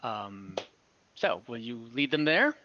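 A game master improvising the narration of a tabletop game over a video call, halting to choose words along the way. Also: mid-range voice, very animated, highs cut off, slight background noise.

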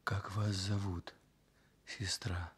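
A feeble, apologetic male patient in a Soviet radio drama. His voice is low and extremely husky, with a slow, flat, hushed delivery. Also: heavily acted. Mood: weak, shy. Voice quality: husky, breathy. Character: weak, shy, ailing male hospital patient.

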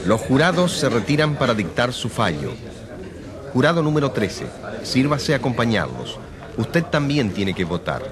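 A man's voice: medium pitch, moderate pace, natural voice. An actor playing an eager male radio reporter giving urgent live trial commentary in a drama, over crowd murmur. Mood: urgent, expectant. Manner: animated, urgent announcer delivery.

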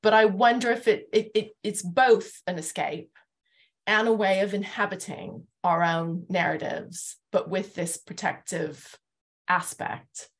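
Medium-pitched woman moderating a discussion. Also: fairly even intonation, a little slow. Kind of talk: reflective discussion, inviting questions. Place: living room, video call.

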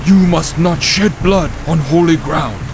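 An actor playing a solemn, defiant monk in a game cutscene, speaking in a declamatory way. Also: male voice, fairly high pitch, unhurried. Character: solemn, defiant male monk of holy faith. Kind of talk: dramatic game cutscene acting. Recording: noisy game ambience behind.

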